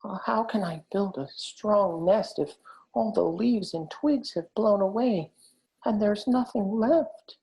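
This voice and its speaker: A gentle young hedgehog with a high, earnest voice, as a woman reading the story puts him on. He sounds bright and eager, and his pitch rises up well above the narrator's own low voice. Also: very animated, a little slow, gravelly, poor audio. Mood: anxious. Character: small kind young male hedgehog.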